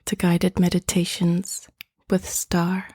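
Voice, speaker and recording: A woman guides a meditation in a hushed voice that keeps slipping into a half-whisper. Her tone is mellow and low-set, and it stays very even in pitch. She speaks slowly and gently, inviting the listener along. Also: breathy edge, no echo. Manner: soft, hushed, often half-whispered delivery; gentle, inviting, unhurried phrasing.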